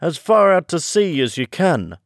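A man's voice: mid-range voice, measured pace, dry recording, clean studio sound, close to an everyday voice. A man voicing a brusque, bossy griffin in a storybook reading, blunt and exclamatory. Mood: shouting, excited.

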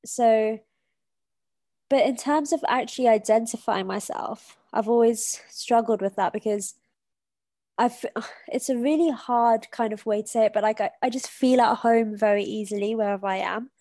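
A woman's voice: fairly high pitch, moderate pace, dark tone, dry recording. A young woman from Kenya with a British accent, thinking aloud as a podcast guest. Her talk is hesitant and full of fillers and restarts, recorded close and dry. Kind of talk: casual conversation.

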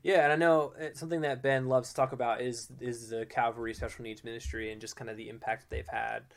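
A man's voice: mid-range voice, level tone, quick pace, gravelly. A man in his twenties co-hosting a casual podcast conversation, talking in an easygoing way with many fillers and restarts. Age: 20s.

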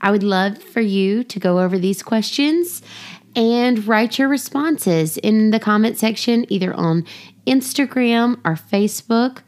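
A woman's voice: a little high, expressive, unhurried, a little mellow. A woman reading scripted children's story narration and explanation, in a teaching manner. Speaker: storybook reader and podcast host.

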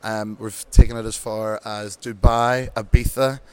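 Irish man, casual interview chat, rambling with fillers, smooth resonant voice. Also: fairly low pitch, measured pace. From Ireland. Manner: relaxed, rambling, many ums and restarts. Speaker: bingo show host, guest.

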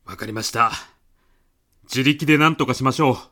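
A man's voice: mid-range voice, normal speed, pushed and bright, slight background noise, natural voice. A man playing an insistent, argumentative, eccentric businessman with absurd logic in a comedic radio drama. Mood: stubbornly earnest. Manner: insistent, matter-of-fact, argumentative.

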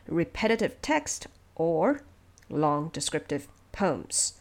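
A woman presenting explanatory production notes from a script in a measured, instructive way. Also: low-pitched, vocal fry. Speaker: audio drama producer and presenter.

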